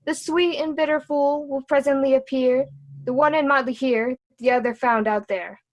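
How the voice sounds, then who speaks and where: High-voiced mocking fool played by a woman. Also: steady tone, normal speed, close to an everyday voice, low-quality recording. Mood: teasing, riddling. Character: witty, taunting court fool, cheeky young jester. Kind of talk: Shakespearean stage reading.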